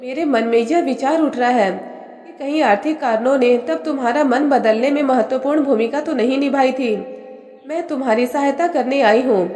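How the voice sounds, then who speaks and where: A woman narrating a moral story in an even, measured reading voice, doing all the characters herself. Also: high voice. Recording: echoey room with long reverb.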